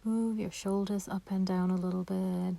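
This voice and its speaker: A woman leading a guided meditation in a soft, breathy voice, gentle and soothing, with unhurried pauses. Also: medium pitch, level tone.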